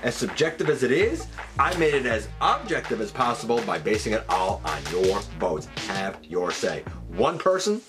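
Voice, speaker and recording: A man's voice: mid-range voice, big pitch swings, normal speed, over music. A man hosting a countdown in a casual, chatty style, emphatic and enthusiastic, rambling as he thinks aloud and corrects himself. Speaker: host of a fan countdown channel.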